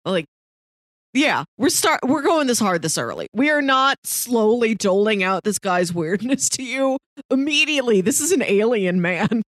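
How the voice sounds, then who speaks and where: A woman's voice: fairly high pitch, very animated, moderate pace, no room sound. Dry-sounding, casual woman podcaster. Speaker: podcast co-host. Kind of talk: casual TV show discussion.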